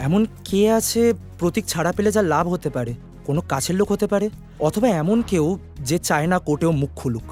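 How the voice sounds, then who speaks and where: A serious, commanding cartoon detective, played by a man. His fairly high voice moves very quickly and decisively, over faint music. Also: animated, a little mellow, natural voice. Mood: deducing. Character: brisk, serious male detective giving orders to his team. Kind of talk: animated cartoon dialogue.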